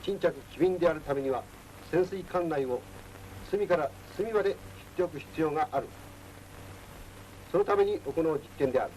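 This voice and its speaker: A male naval instructor lectures formally in a voice pitched a little high. His delivery is firm and didactic. It plays from a 1940s film soundtrack with faint noise. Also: normal speed, long pauses, a little mellow, rough recording.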